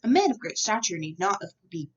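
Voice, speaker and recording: A woman's voice: mid-range voice, sing-song, brisk, distant mic, dull audio. A woman voicing a formal, wordy, nervously diplomatic male secretary of state in a storybook.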